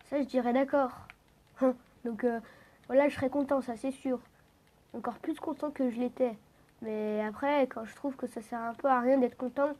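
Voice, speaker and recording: A woman's voice: fairly high pitch, dark tone. A teenage girl with a head cold, talking a bit through her nose, rambling casually over a game with lots of fillers and restarts. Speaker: gaming vlogger.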